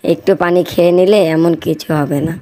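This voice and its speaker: A woman's voice: lowish voice, fairly even intonation, moderate pace, dark tone, close to an everyday voice. A woman playing a wavering but sincere young boy in a moral cartoon, speaking plainly. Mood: sincere, repentant. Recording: faint background music.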